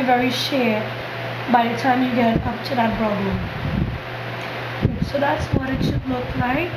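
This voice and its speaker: A hoarse woman from Trinidad giving a calm step-by-step makeup tutorial. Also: high voice. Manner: calm step-by-step instructions, soft fillers. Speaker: beauty vlogger. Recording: echoey room with loud background noise.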